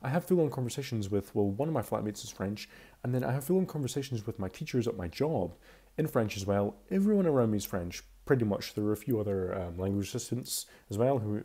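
A man's voice: medium pitch, lively intonation, fairly quick, dark tone, breathy edge. A twenty-year-old man with a Scottish accent, giving a casual, chatty and candid voice-over commentary. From Scotland. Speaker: video commentator.

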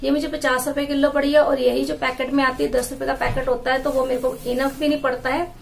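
A woman's voice: sits high, little pitch movement, fast talker, vocal fry, slight background noise. A woman in her thirties, a housewife vlogger, chatting casually as she shows her groceries on camera in a small tiled room. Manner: chatty, explaining while handling jars and bags. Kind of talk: casual home vlog showing groceries. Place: small indoor room with tiled walls and wooden door.

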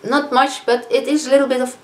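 A woman in her fifties with a Dutch accent, chatting casually as a beauty vlogger reviewing products, often pausing on fillers and correcting herself. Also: sits high, flat delivery. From Netherlands. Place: living room, indoors. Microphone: camera in front of a seated speaker. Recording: echoey room with faint hiss.

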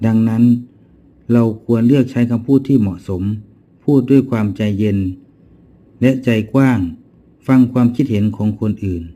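A man's voice: mid-range voice, normal speed, mellow. A man reading a scripted moral summary as narrator, in a dull, muffled recording.

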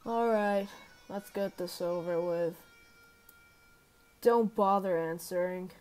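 A woman voicing a gruff, sullen teenage girl monster bully for an amateur video game demo, with a tough, sarcastic, slangy drawl. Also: lowish voice, slow pace, a little mellow, faint music behind. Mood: menacing.

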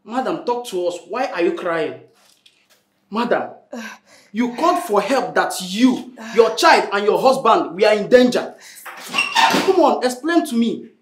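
A man's voice: sits high, very animated, unhurried, roomy sound. An actor playing a policeman firmly questioning a woman who is crying. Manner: firm, questioning. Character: insistent police officer.

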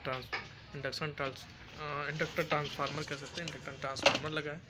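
A man's voice: a little high, flat delivery, fast talker, background noise. A man hosting an electronics repair channel, explaining a welding machine's circuit boards step by step while filming on a phone in one hand in a workshop. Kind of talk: hands-on repair tutorial. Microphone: phone held in one hand.

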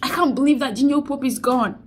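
A young woman with a high voice records herself on a phone held close, indoors. She mourns and exhorts by turns, saying phrases again and again as if she were preaching a sermon. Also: brisk, slight background noise. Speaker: vlogger. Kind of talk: emotional selfie vlog, preachy. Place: indoors, small room. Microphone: phone held close to face.

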